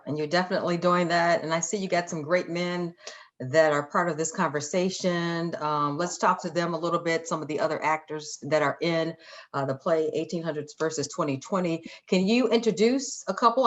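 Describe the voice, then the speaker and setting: A woman with a somewhat low voice works as an interview host. She is informal and encouraging, putting questions to guests in a conversational style. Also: steady tone, moderate pace.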